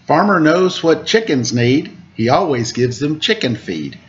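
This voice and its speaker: A man in his forties reads a children's picture book at an unhurried pace. His pitch rises and falls in a sing-song, stretching key words. The recording is dull, made on a webcam in a room at home, with noise underneath. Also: very animated. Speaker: storyteller reading aloud to children. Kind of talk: picture book read-aloud with casual asides.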